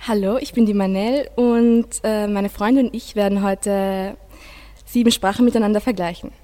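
Soft-toned, mellow, halting teenage girl. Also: medium pitch, fairly even intonation. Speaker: school student in a radio project. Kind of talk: conversational presenting with classmates.

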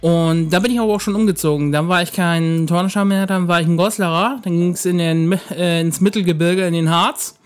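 High-voiced man telling stories casually. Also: steady tone, brisk, few pauses, smooth. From northern Germany. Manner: rambling storyteller, many fillers. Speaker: podcast guest. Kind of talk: casual podcast chat.

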